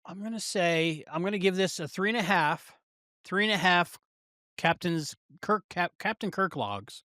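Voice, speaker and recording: Male co-host, forceful bright tone, casual halting chat, very dry recording. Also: sits high, no room sound. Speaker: podcast co-host. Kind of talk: casual chat, review podcast.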